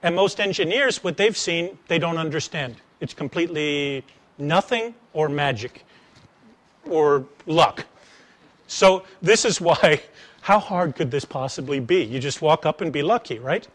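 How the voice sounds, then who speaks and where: High-pitched American man giving a talk. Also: measured pace. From United States. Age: middle-aged. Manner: animated storytelling, rhetorical questions. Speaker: speaker giving a talk, former engineer turned sales trainer. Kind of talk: conversational public lecture with acted-out anecdotes. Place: attic seminar room with wooden floor. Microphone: lapel mic.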